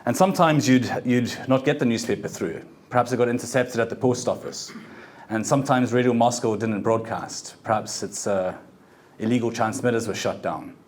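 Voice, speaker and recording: A man who grew up in South Africa giving a meetup pitch talk, halting, with frequent fillers and restarts. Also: a little mellow. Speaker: startup founder presenting. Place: event venue.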